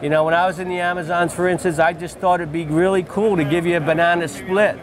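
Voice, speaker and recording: A man's voice: high-pitched, fairly even intonation, fast, slight background noise, low-quality recording. Enthusiastic man telling lodge stories. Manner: enthusiastic, storytelling, repeats phrases. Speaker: fishing lodge owner and outfitter. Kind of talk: trade-show interview. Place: busy convention hall booth, indoors. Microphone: lapel mic. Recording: crowd chatter behind.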